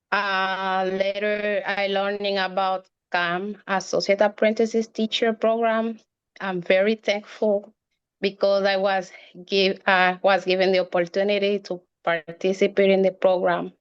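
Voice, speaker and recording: Woman, slow, flat, smooth voice, dry dull lo-fi audio. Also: mid-range voice, dry recording. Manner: slow, careful, non-native phrasing. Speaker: apprentice.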